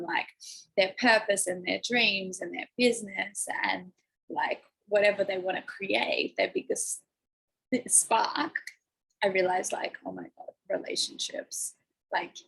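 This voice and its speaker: A woman life coach chatting effusively on a livestream, her voice croaky and hoarse. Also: mid-range voice, poor audio. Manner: bubbly, effusive, lots of "like", coughing. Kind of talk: casual livestream chat. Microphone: phone or laptop livestream.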